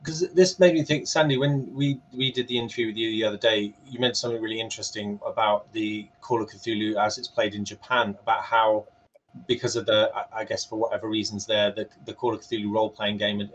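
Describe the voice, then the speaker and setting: A man's voice: lowish voice, fairly even intonation, quick pace, husky, poor audio. A man casually moderating a panel on a video call in a tentative, polite way.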